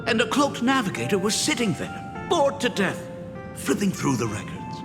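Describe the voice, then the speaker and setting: An actor playing a jovial, theatrical old father telling tales in a video game, with teasing, drawn-out delivery. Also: sits high, huge pitch swings. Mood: theatrical storytelling. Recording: long hall reverb, music behind.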